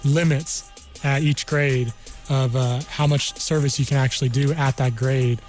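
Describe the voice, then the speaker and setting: An American man, a guest on a radio interview, explaining things in a measured, halting way. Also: mid-range voice, steady tone, a little mellow. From New Mexico, United States. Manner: halting, measured, repeats "you know". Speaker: guest, army career counselor. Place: radio studio. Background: music.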